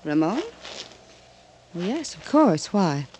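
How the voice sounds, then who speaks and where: A woman's voice: fairly low pitch, big pitch swings, slow pace, darkish tone, a little hiss or hum. An actress playing a flirtatious, self-possessed, daring woman in a 1950s radio drama. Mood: guarded, flirtatious. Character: flirtatious, daring adult woman fascinated by death.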